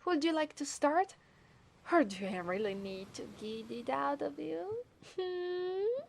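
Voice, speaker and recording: A woman with an Italian accent voicing a coy, possessive mermaid in a soft, breathy ASMR roleplay. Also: high-pitched, lively intonation, slow pace, slight background noise, heavily acted. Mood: playful, coy. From Italy. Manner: coy, teasing, breathy murmurs. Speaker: ASMR voice actress.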